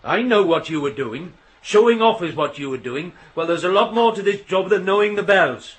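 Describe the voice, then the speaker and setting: An actor playing a proud, touchy middle-aged signalman father in a radio drama, gruff and lecturing. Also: high-pitched, animated, moderate pace. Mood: tetchy. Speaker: railway signalman in a radio play. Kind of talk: naturalistic radio drama acting.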